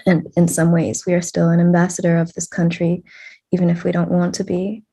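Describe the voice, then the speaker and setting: A woman interviewer speaks slowly and thoughtfully, with a low, husky voice. Her delivery stays flat and mellow, with a gravelly edge. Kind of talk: casual conversation.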